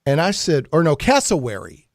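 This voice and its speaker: A male talk show host with a voice a little higher than most, recorded dry and close. He rambles through casual stories and banter, joking with a mock-serious delivery. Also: huge pitch swings, normal speed, no room sound. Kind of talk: casual chatty radio banter.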